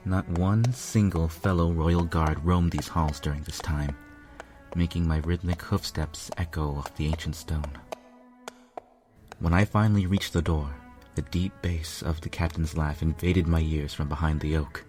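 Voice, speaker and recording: A man narrates a piece of fiction from a script. His voice is low, and faint music plays underneath as he reads. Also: fairly even intonation, unhurried. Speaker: fan fiction reader, narrator.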